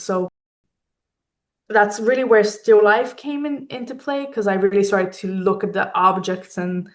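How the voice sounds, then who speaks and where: A woman from Israel speaking reflectively as an interview guest, hesitantly searching for words and often adding "like" and "kind of". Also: medium pitch. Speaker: interview guest, fine art photographer.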